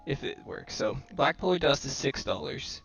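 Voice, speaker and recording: A man's voice: medium pitch, wildly swooping pitch, normal speed, vocal fry, a bit bright, dull audio, faint music behind. Robotic-sounding man thinking aloud over a game. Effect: robotic-sounding microphone distortion. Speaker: gamer commentating own match. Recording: robotic, glitchy home microphone.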